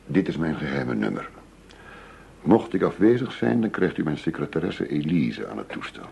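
An actor playing a shrewd, businesslike undercover negotiator posing as a money dealer in a radio drama. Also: male voice, rumbling low, very animated, creaky, warm tone, slightly rough, heavily acted. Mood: businesslike, guarded. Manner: measured, businesslike, formal.

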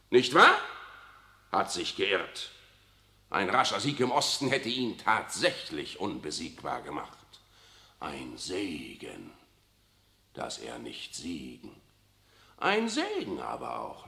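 An actor playing a worldly, cynical older cardinal who talks in an ironic, confiding way in a 1960s radio drama. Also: male voice, low-pitched, huge pitch swings, slow pace, vocal fry, pauses often, sharp tone, echoey room, exaggerated voice. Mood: sly. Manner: ironic, confiding, keeps adding "nicht wahr".

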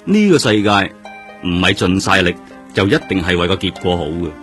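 An actor with a Hong Kong accent playing a seasoned, world-weary detective in a radio drama, speaking emphatically. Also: male voice, low voice, very animated, brisk, a bit bright, faint music behind. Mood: grave, sombre. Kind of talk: Cantonese radio drama acting.

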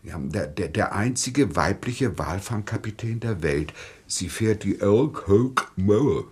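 Low husky gentleman schemer, fussy, apologetic, wordy, comedy radio play. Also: animated, slow pace, heavily acted. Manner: hesitant, florid and formal. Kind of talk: satirical radio play acting.

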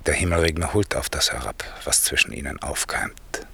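Casting: benevolent patriarch for classical verse drama, older, measured and grave, rough gravel with a forceful edge. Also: male voice, extremely low pitch, full character voice. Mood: quietly gratified. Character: grave, benevolent older father, scholarly, watching over lovers.

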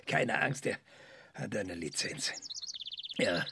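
A man's voice: lowish voice, animated, slow pace, gravelly, noisy background, heavily acted. An actor in a radio drama playing an ironic, cranky male scientist, hesitant and musing with dry sarcasm. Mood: wry, musing. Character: cranky, ironic maverick male scientist, passionate about research.

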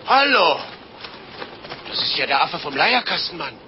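An actor playing a chatty, helpful travelling pedlar in a 1950s radio play. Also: male voice, fairly high pitch, very animated, measured pace. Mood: friendly, surprised. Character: chatty passing pedlar, helpful country man. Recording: noisy, muffled old 1950s radio recording.

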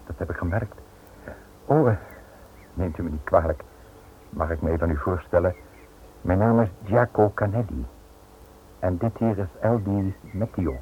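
An actor playing a suave foreign caller in a radio play, courteous, sly and insistent. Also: male voice, low voice, normal speed, pauses often, close to an everyday voice. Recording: tinny, noisy old radio drama recording.

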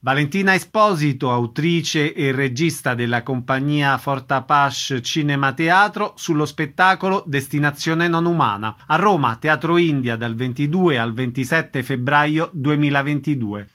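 A man hosting on radio, reading out scripted announcements in a crisp, formal way. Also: a little high, unhurried, few pauses, a bit bright. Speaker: radio host.

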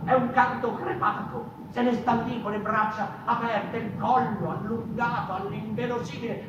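A woman's voice: a little high, lightly acted. A woman performing an insistent, impassioned theatrical monologue as a defiant figure from a mouse folk. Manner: insistent, repeating phrases. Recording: echoey, distant, noisy room recording.

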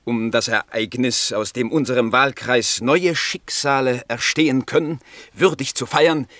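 An actor playing a flattering small-town party dignitary in a 1960s German radio play, speaking in formal, measured oratory. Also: male voice, medium pitch, no echo, natural voice. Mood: festive.

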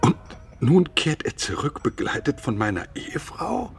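Give this radio play a jealous, self-reassuring husband with an ironic edge. His delivery swings expressively through wide pitch movement. Faint noise sits behind the voice. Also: huge pitch swings, moderate pace, creaky. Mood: jealous, indignant.

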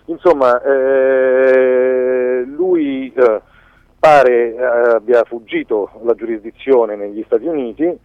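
A man giving a conversational radio interview as a filmmaker, haltingly searching for words and restarting phrases. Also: medium pitch, no echo. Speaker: documentary filmmaker being interviewed. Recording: dull lo-fi radio sound, dry.

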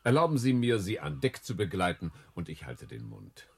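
An actor in a radio play playing a courtly, smooth-talking ship's captain, flattering and poetic as he woos and tells tales. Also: lowish voice, normal speed, full character voice. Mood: charming, insistent. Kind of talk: radio play acting, lyrical storytelling.

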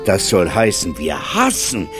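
A man narrating a fairy tale in a German radio play, theatrical and deliberate, wondering aloud. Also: deep voice, wildly swooping pitch, music in the background, heavily acted. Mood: puzzled, wry. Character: expressive male storyteller of a fairy tale. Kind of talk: dramatic scripted storytelling, German radio play.

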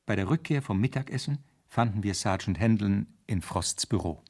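An actor playing a dutiful police subordinate in a radio crime drama, husky and matter-of-fact. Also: male voice, fairly low pitch, animated, normal speed, a little mellow. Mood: sober. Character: dutiful police subordinate, narrating the case soberly.

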